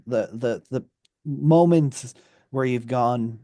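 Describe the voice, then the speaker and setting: A man hosting a radio interview, asking questions in a halting way with restarts. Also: medium pitch, dark tone, no echo, clean studio sound. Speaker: radio host. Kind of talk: interviewing a guest. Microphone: studio mic.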